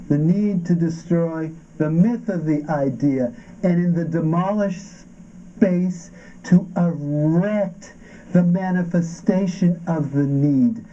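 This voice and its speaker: A man's voice: fairly high pitch, mellow. An actor playing a tormented existential man in an avant-garde stage drama, declaiming with odd stresses. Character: tormented existential man questioning being and nothingness. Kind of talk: avant-garde theatrical performance of a radio play. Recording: dull, muffled stage recording with noise.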